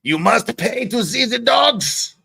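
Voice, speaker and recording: Animated male livestream host, very swoopy delivery. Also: very high-pitched, slow pace, creaky, breathy edge. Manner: joking, teasing. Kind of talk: casual livestream banter.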